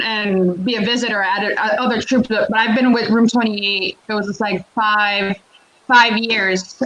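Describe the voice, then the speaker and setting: A woman from Puerto Rico and Connecticut, a comedian guest chatting casually and talkatively in an interview, with an animated manner. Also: medium pitch, lo-fi sound. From born in Puerto Rico, raised in Connecticut, United States.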